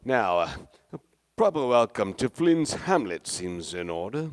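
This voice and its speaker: A man's voice: mid-range voice, sing-song, measured pace. An actor playing a hammy, avuncular male stage star in a radio play, grandiose and theatrical. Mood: jovial, breezy.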